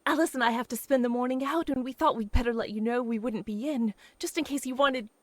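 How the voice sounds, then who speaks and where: A woman's voice: a little high, fast, dry recording, lightly acted. An actress playing a young woman abandoned by her fiancé in an old-time radio drama, talking in a breathless, pleading way. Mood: nervous, polite.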